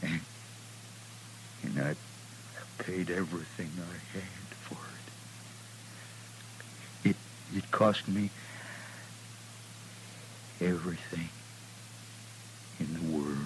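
A broken, desperate American gunman in an old radio Western. The voice is very deep and drags slowly through halting, stammered phrases, with a faint hum behind it. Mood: broken, pleading. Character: broken, desperate drunken gunman who lost his nerve. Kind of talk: 1950s Western radio acting. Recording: humming, noisy old radio recording.

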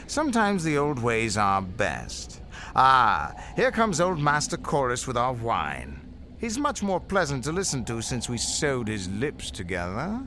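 Arrogant young noble villain, highly animated pitch, sneering, gleeful, space-fantasy radio drama, clearly noisy background. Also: male voice, a little slow. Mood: smug cruelty. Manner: sneering, theatrical. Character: arrogant young male aristocrat tyrant, coldly gleeful.

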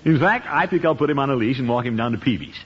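A man's voice: mid-range voice, expressive, fast talker, close to an everyday voice. An actor playing a pompous, blustering middle-aged man in a 1950s radio sitcom, chuckling and flustered. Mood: cheerful. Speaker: uncle and head of household. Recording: dull 1950s radio recording.

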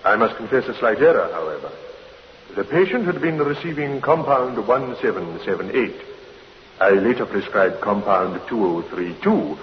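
An actor playing a formal, defensive doctor giving testimony in a radio courtroom drama, careful and precise. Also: male voice, on the low side, lively intonation, moderate pace, close to an everyday voice. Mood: carefully defensive. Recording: reverberant, muffled, hissy old radio recording.

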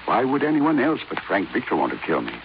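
A man's voice: mid-range voice, wildly swooping pitch, fast, gravelly. A man acting a guarded, blunt older business magnate in a 1950s radio drama, speaking imperiously. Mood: insistent, defensive. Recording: dull, lightly noisy 1950s radio recording.

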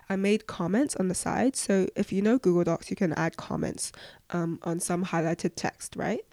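A young woman with a voice croaky from flu, giving casual, earnest advice as a podcast host answering questions. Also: on the low side, darkish tone, no room sound, studio quality. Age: young adult.

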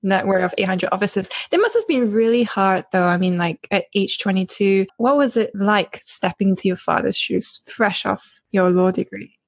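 A woman interviewer with a gravelly, creaky voice puts curious questions to her guest in a relaxed, casual way. The recording is dry and close. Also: medium pitch, normal speed, no echo.

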